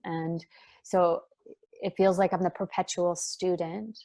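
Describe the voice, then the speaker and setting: Soft spoken lady, lowish voice, gentle, lots of um and like while she thinks. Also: level tone, unhurried. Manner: gentle, searching, many fillers and restarts. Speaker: guest, breathwork trainer. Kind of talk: reflective interview talk.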